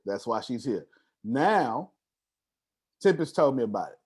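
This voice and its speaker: A man's voice: medium pitch, sing-song, measured pace, a little mellow, husky. A man leading an online group call as a coach, talking informally and emphatically in a motivational style, often checking that listeners follow. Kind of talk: animated motivational coaching talk.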